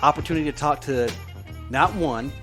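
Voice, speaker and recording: A man's voice: on the high side, normal speed, a bit bright, soft background music. A man co-hosting a sports podcast, chatting casually in a folksy, colloquial, enthusiastic way. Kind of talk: casual sports chat and analysis.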